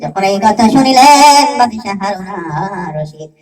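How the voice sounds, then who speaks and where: A woman's voice: on the high side, expressive, normal speed, darkish tone. A woman preacher telling a religious story as a sermon, dramatically and with repeated phrases for emphasis. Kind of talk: sermon storytelling with acted dialogue. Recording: echoey, distant mic, music behind.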